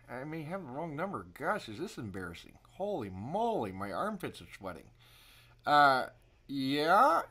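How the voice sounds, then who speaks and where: A man in his thirties with very lively intonation, chatting into his phone on a prank call. He rambles and hesitates, playing up the awkwardness as he goes. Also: a little high, slightly rough. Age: 30s. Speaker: prank caller and livestream host. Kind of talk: improvised prank call, casual chat.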